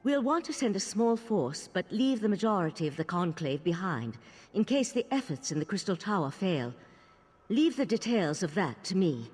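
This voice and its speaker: A weary, skeptical leader of mages in a fantasy game. Her husky voice swings expressively through formal, deliberate speech, ringing in a long reverb. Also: lowish voice, sing-song, darkish tone, faint music behind. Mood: businesslike, concerned. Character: grave, weary woman leader of a mage order, wryly skeptical. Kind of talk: fantasy video game dialogue.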